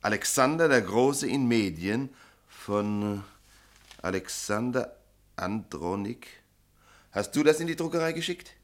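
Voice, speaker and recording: An actor playing a cynical, bossy veteran newspaper editor of middle age in a German radio play, with a sardonic, needling manner. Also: male voice, on the low side, lively intonation, unhurried, pauses often. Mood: incredulous, probing. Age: middle-aged.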